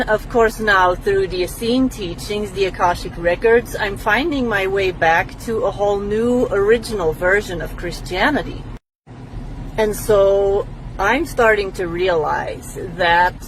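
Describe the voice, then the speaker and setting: A woman's voice: medium pitch, noisy background. A woman from Belgium, speaking casually to camera in a reflective, explanatory way as a spiritual teacher. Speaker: spiritual teacher answering a follower's question.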